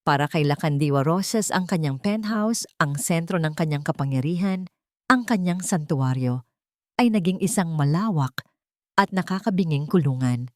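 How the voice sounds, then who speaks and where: Mellow, even-toned woman storyteller. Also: sits low, normal speed, no echo, studio quality. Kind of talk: dramatic scripted story narration.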